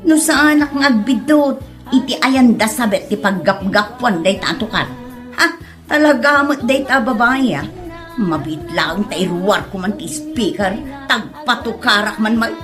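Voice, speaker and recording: A woman's voice: on the high side, animated, normal speed, a bit bright, faint music behind. A woman playing an irritated neighbour, itching to retaliate, in a comedy audio drama.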